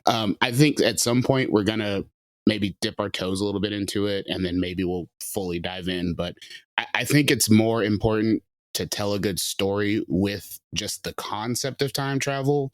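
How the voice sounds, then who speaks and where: A man writing an audio drama, talking in a relaxed, joking way with frequent laughs on a podcast. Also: on the low side, moderate pace, dry recording. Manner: relaxed, jokey, laughs often. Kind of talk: casual podcast chat.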